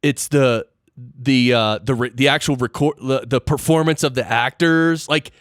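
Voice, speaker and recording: A man chats as a podcast host in a very dry, close recording. His pitch sits in the middle for a male voice. He explains things informally and often turns a point into a question for his guest. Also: moderate pace, no echo. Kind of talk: casual interview chat about audio gear and production.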